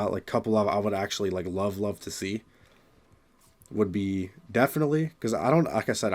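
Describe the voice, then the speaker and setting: Young man, casual creator chat, slightly lowish voice, still raspy after a cold, rambling with fillers. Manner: rambling, many fillers and restarts. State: raspy voice from getting over illness. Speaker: gaming video creator. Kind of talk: casual solo commentary.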